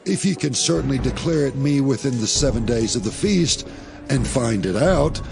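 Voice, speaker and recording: A man's voice: medium pitch, lively intonation, normal speed, creaky, music in the background. An actor playing a bold, headstrong young Israelite strongman in a Bible audio drama, speaking assertively. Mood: challenging, confident.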